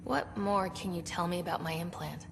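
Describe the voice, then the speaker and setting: A woman's voice: on the low side, steady tone, moderate pace, fairly bright tone, soft background music. An actress playing a young female explorer in a video game, casual, wry and direct. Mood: curious, wry. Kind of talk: scripted video game dialogue. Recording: reverberant space, clear background noise.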